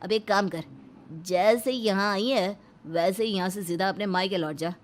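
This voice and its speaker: A woman's voice: mid-range voice, moderate pace, natural voice. A woman voicing a domineering Indian husband in a moral story, scolding and commanding. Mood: angry, scolding. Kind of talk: dramatic moral-story dialogue.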